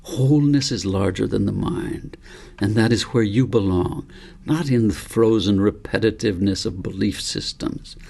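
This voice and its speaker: A man reading the part of a wise old grandfather in a storybook, calm and patient, explaining things in a measured, philosophical way. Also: fairly low pitch, vocal fry. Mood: firm, wise.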